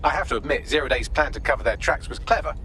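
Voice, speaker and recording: An actor voicing a witty, smug artificial intelligence assistant in a video game, wry and flippant. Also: male voice, mid-range voice, fast talker, bright, forceful tone, slight background noise, close to an everyday voice. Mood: wry, intrigued.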